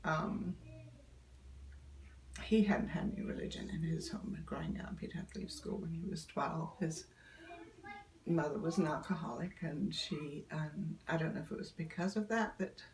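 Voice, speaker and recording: An older woman, telling stories in a relaxed interview, often laughing and pausing with ums. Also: low voice, a little hiss or hum, rough recording. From Yorkshire, England. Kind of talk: casual storytelling interview.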